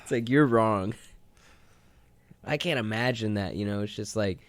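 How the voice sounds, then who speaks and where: A man with a fairly low, clean and smooth voice talks casually as a radio co-host. He rambles through his stories in a loose, conversational way, recorded close and dry. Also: normal speed, dry recording. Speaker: co-host of a retrospective radio show. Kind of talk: casual chat.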